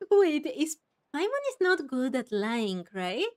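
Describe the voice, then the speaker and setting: A woman's voice: high voice, very animated, extremely slow, darkish tone. A woman streaming a game, commenting casually and musing aloud in a reactive way, sometimes reading text out. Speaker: gameplay streamer.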